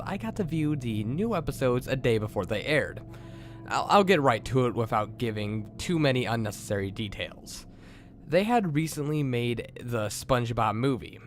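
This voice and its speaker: A man hosting and narrating a scripted creepypasta horror story. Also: mid-range voice. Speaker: host and narrator.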